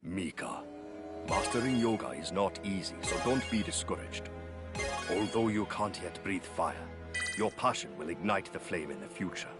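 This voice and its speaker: A man playing a calm, wise, middle-aged yoga master in a video game, speaking in measured, solemn, philosophical phrases. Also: low-pitched, over loud music. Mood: gentle teaching. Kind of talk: video game story cutscene acting.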